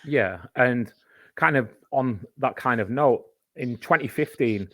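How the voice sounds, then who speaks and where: A man's voice: mid-range voice, a little slow, a bit bright, no room sound. Guy on a podcast rambling through examples, mid voice, dry room. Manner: conversational, explains at length with examples. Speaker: podcast guest. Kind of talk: casual podcast chat.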